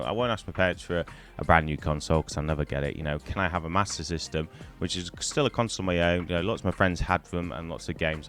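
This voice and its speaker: A middle-aged man from Wales, hoarse from a cold, chatting to camera in a rambling way on a poor-quality microphone. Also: very deep voice, a bit bright. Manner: rambling, chatty, many ums and restarts. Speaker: gaming YouTuber. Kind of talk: casual to-camera storytelling.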